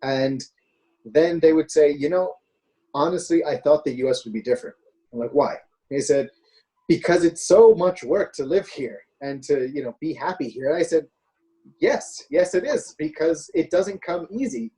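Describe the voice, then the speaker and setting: A man co-hosts a casual political chat show in a warm, dark voice. He rambles, circling his points with fillers and restarts. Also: on the high side, lively intonation, normal speed, low-quality recording. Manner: rambling, hesitant, with many fillers. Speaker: podcast co-host.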